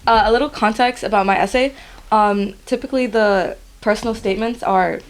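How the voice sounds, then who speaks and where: A young woman from Guyana, a podcast guest, reading her college admissions essay aloud in an earnest, steady way. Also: mid-range voice, a little fast, faint music behind. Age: young adult.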